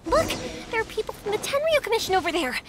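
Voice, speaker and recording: A woman's voice: extremely high pitch, quick pace, noisy background. An actress voicing a cheerful, determined young woman fireworks maker in a video game. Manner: lively, caring and determined. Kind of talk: video game dialogue acting. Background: game music.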